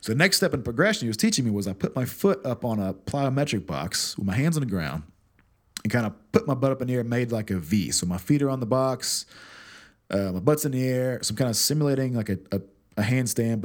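A man telling a fitness story in a casual monologue, profane, animated and self-mocking, often repeating words as he goes. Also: medium pitch, fast talker.

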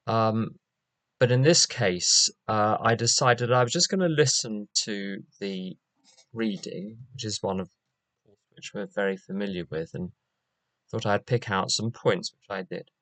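An older man with a London accent, giving a measured, wry personal share at a recovery meeting. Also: lowish voice, a bit bright, dry recording, rough recording. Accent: London English. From England. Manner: measured, wry and reflective, with fillers. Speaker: AA meeting speaker. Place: meeting room.

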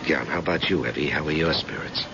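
An actor playing a blunt, protective family friend in an old-time radio crime thriller, sometimes hesitant, sometimes urgent. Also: male voice, very deep voice, fast talker. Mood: hesitant, gentle. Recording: dull, very noisy old radio recording.

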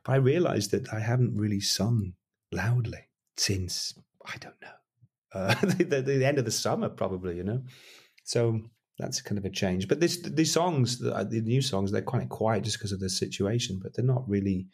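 Middle-aged male musician, husky voice, loose rambling interview talk. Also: fairly low pitch, normal speed, a little mellow. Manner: rambling, self-deprecating, frequent restarts. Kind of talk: casual interview chat.

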